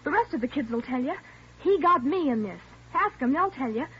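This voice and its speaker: An actress playing a defiant nineteen-year-old girl under questioning in a 1950s radio drama, shrill and insistent. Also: expressive, a little fast. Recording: dull old radio broadcast with faint hiss.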